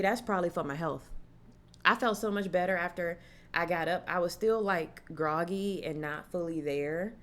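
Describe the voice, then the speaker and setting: Low-voiced young woman telling a story. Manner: animated, acts out quoted voices, casual slang. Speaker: horror vlogger. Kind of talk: casual storytelling to camera.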